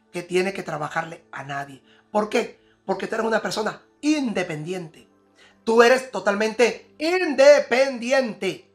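A man giving a tarot prediction, repeating and rephrasing each line with emphasis. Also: high voice. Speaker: tarot reader.

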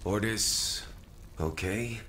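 An actor playing a weary, sardonic, battle-worn young man in a video game cutscene, speaking in halting, laconic phrases. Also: fairly low pitch, bright, forceful tone, heavily acted. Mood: dry, casual. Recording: roomy echo with faint noise.

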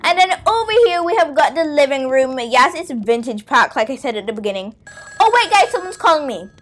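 A woman's voice: sits high, very animated, a little fast. A young girl hosting a casual, playful game tour, chatting to viewers in an exclamatory way over background music. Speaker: gaming video host.

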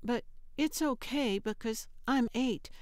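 A woman's voice: on the high side, slow pace, dry recording, voice pushed up. A woman voicing an earnest, guarded eight-year-old girl in an audiobook, speaking a little hesitantly. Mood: guarded, explaining. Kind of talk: audiobook character acting.

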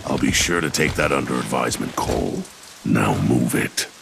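An actor playing a battle-hardened soldier squad leader who gives curt, gruff orders. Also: male voice, moderate pace, noisy background, heavily acted. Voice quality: deep gravelly voice.

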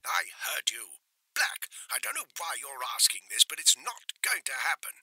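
A man voicing a curt, gruff commanding officer on a phone call. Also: on the high side, very animated, normal speed, crowd noise, voice pushed up. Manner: curt, swearing.

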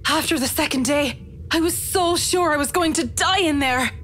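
Scared young woman from a visual novel, really high voice, anxious and shaky w emotion, bit of noise behind. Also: moderate pace, a bit bright. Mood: shaken, grateful. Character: frightened, distraught young woman freed from a dungeon.

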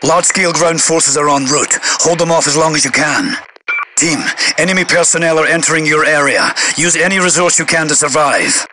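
British major general for military game: stern, clipped, commanding, slightly high-pitched, radio-filtered with static. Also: male voice, a little fast. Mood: grave, commanding. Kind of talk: video game voice-over, military radio announcements. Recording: radio crackle and heavy noise.

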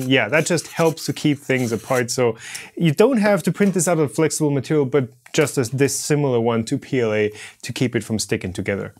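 Higher-pitched man giving a casual walkthrough. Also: slight background noise. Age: 30s. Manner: informal explanatory, hands-on demonstrating. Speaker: presenter of a maker tutorial channel. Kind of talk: casual instructional product walkthrough. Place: indoor home studio workshop. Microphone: lapel mic.

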